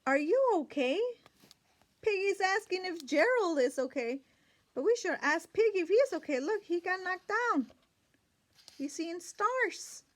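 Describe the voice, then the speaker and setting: A woman reading a picture book aloud to young children, expressive and full of questions and asides to her listeners. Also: very high-pitched, a little slow. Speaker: children's read-aloud storyteller.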